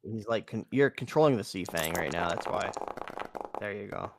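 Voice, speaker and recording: A man's voice: on the low side, lively intonation, normal speed, very noisy. Man chatting casually at a roleplay game. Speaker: tabletop roleplay player. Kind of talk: casual game-table chat.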